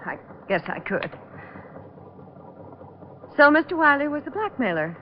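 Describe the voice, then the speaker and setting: An American actress playing a guarded young salon masseuse with polite, clipped control, in old radio drama style. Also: female voice, sits high, sing-song, unhurried. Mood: controlled nervousness. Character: guarded young female salon masseuse hiding cold resentment. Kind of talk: 1940s-50s American radio drama acting. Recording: muffled, noisy old radio recording.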